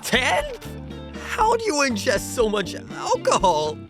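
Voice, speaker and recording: A man's voice: extremely high pitch, wildly swooping pitch, slow pace, music in the background, heavily acted. A man voicing a hapless, broke young man lost in another world, dramatic and self-pitying, in an anime parody dub. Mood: baffled.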